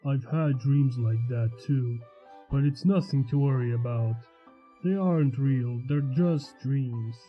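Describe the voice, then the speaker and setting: The recording is dull and lo-fi, with faint music underneath. A man plays a protective male skeleton in a fan fiction story. He is calm and reassuring, with a quietly serious side and an average pitch. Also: unhurried, lightly acted. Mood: gentle, reassuring. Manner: reassuring, low-key. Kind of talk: acted fan fiction reading.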